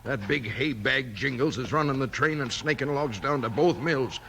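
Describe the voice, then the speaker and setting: An actor playing a rough, grumbling crooked logger henchman in a 1950s radio western, gravelly and folksy in his rustic slang. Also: medium pitch, normal speed, pushed and bright. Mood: grumbling, scheming. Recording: noisy old 1950s radio recording.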